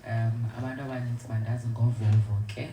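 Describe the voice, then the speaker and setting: A South African woman speaking as a podcast guest, animated and laughing as she mixes Xhosa and English. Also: rumbling low, fast, background noise. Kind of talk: casual panel chat. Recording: echoey room with music behind.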